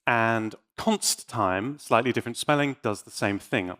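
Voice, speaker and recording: A man's voice: mid-range voice, measured pace, a bit bright. A man giving an informal conference talk on tech in a playful, dryly joking and mock-defiant manner. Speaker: conference speaker.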